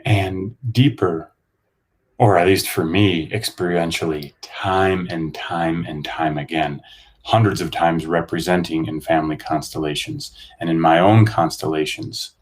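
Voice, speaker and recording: A man explaining his experience in an earnest, measured way. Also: deep voice, steady tone. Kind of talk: reflective conversation.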